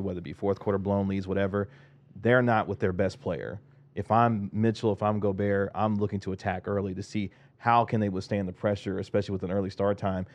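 Low, even-toned man giving sports commentary. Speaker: sports panelist.

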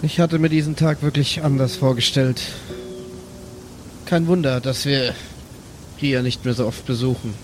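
A man's voice: medium pitch, fairly even intonation, normal speed, pauses often, a little mellow, soft background music. A man speaking in character as a player in an improvised pen and paper game. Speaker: player in a pen and paper role-play podcast. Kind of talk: improvised in-character role-play talk.

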